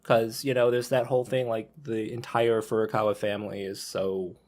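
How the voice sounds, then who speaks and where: A man's voice: lowish voice, little pitch movement, moderate pace, darkish tone. A man hosting a casual podcast discussion, talking in a rambling, loose way with many restarts. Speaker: podcast host.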